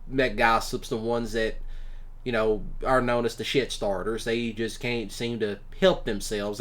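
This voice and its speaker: A man's voice: mid-range voice, fairly bright tone. A man, rambling casually through personal stories as a commentator, in a folksy, blunt, colloquial way. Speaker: ASMR roleplay creator and commentator.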